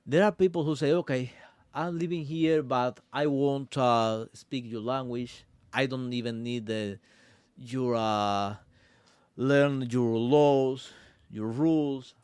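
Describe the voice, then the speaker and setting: A man from Cuba with a Cuban Spanish accent, a podcast guest talking earnestly in halting English aboard a sailboat, often pausing to search for words. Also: medium pitch, fairly even intonation, a little mellow, clean tone, no room sound, studio quality. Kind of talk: casual conversation.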